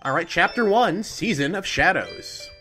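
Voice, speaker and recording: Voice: medium pitch, animated, a little slow, sharp tone, soft background music. A gaming host thinking aloud in casual, chatty let's play commentary.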